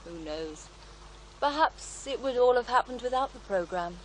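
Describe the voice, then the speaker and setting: An actress playing a serene, devout faith healer in a radio drama, reflective and gently assured as she tells her story. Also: a little high, lively intonation, normal speed, a little hiss or hum, natural voice, rough recording. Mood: serene conviction. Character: serene devout female faith healer, former child therapist, wistful. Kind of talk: naturalistic radio drama acting.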